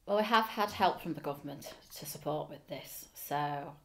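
A woman's voice: low voice, normal speed, a bit bright. A middle-aged woman with a Liverpool accent, speaking earnestly in an interview in a school office, with frequent ums. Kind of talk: oral history interview. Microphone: seated facing camera.

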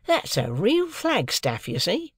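A woman's voice: mid-range voice, huge pitch swings, takes it slowly, breathy edge, no echo, clean studio sound, pitched above the performer's own voice, full character voice. A woman voicing a proud, shrewd, eccentric male clerk in an audiobook, with emphatic, confiding, colloquial talk. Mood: proud, eager. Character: chirpy, proud middle-aged London clerk, man, shrewd and eccentric.

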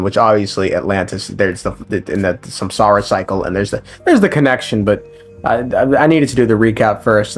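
A man giving a casual, conversational recap of a video game story as a lore commentator, with slang and swearing. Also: on the low side. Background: game music.

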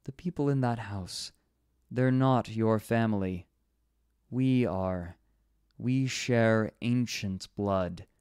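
A man voicing a dignified female alpha wolf who speaks slowly and alluringly, coaxing and hypnotic. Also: on the low side, darkish tone, smooth, no echo, studio quality.

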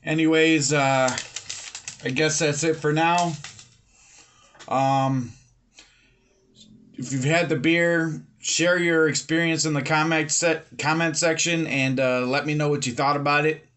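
Slow-talking man reviewing beer at home. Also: on the high side, clean tone. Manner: relaxed, many fillers and pauses. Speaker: beer reviewer, channel host. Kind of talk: casual video review. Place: home room with bookshelves, indoors.

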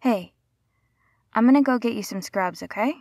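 A woman's voice: on the high side, normal speed, a little mellow, no room sound, clean studio sound. A woman playing a caring, flustered young rescuer in an intimate audio roleplay, speaking in a hesitant, stammering, soothing way. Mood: gentle, practical.

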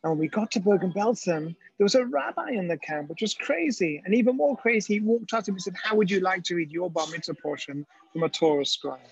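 Middle-aged israeli guy lecturing on a video call, kinda husky, slightly high voice, dull webcam sound. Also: quick pace, hardly any pauses, dry recording. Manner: animated storyteller, quotes people in raised voice. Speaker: educator presenting a webinar. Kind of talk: storytelling lecture.

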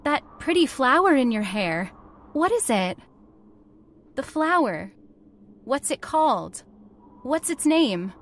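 An actress playing an earnest, headstrong factory heiress in a radio horror drama, with an emphatic, theatrical delivery. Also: female voice, sits high, very animated, takes it slowly, long pauses, full character voice. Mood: coaxing, pleading. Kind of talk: old-time radio horror drama acting.

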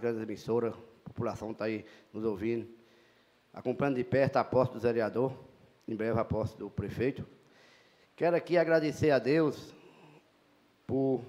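A man's voice: medium pitch, darkish tone. A middle-aged man with a Bahian Portuguese accent, giving a formal, emphatic inauguration speech as a city councilman in a council chamber, speaking into a handheld mic at a lectern. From Brazil. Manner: formal, emphatic, repetitive phrasing.

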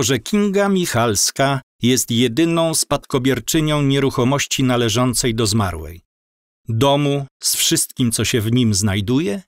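A male narrator tells a scripted story for an audio drama. His pace is slow and measured, his pitch is average, and the sound is dry and close. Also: no room sound.

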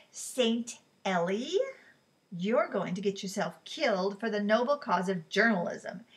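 A woman's voice: fairly high pitch, recorded from a distance. A woman voicing an angry, protective young man in a heated argument in an audiobook. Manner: loud, heated arguing.

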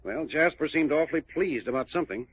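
An American actor playing a bossy, wisecracking adventurer in an old-time radio drama, commanding and sardonic. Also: male voice, a little high, lively intonation, quick pace, husky. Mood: persuasive. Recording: muffled vintage radio recording.

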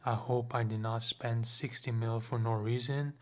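A man's voice: medium pitch, steady tone, moderate pace. A young man of Lebanese Armenian background giving casual gameplay commentary at home, chatting to viewers and asking for comments. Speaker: gaming YouTuber.

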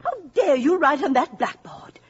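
An actress playing a stern, haughty, indignant housekeeper in a 1950s radio drama, with clipped delivery. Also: female voice, sits high, animated, moderate pace. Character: indignant, stern housekeeper guarding a professor's study. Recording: dull, noisy 1950s radio recording.

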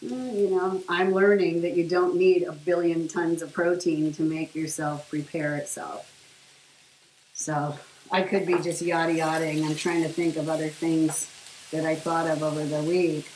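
A woman's voice: deep voice, level tone, measured pace, darkish tone. A middle-aged woman chatting casually to the camera while she cooks, rambling with plenty of fillers. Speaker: vlogger sharing her diet. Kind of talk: casual chat while cooking. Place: home kitchen, indoors. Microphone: camera on the counter at a short distance. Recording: echoey kitchen with faint hiss. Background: food sizzling in a pan.

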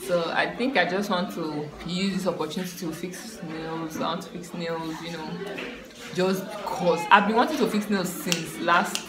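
A young woman, a student vlogger giving a relaxed, rambling life update to her laptop camera in a small room. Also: lowish voice, moderate pace, noisy background. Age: young adult. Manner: relaxed, rambling, repeats herself with fillers. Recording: echoey room with music behind.

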